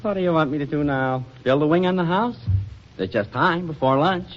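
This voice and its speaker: An actor playing a put-upon, good-humored husband with dry, sardonic delivery in a 1940s radio comedy. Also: male voice, on the high side, lively intonation, fairly quick, natural voice. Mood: grumbling. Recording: muffled, noisy 1940s radio recording.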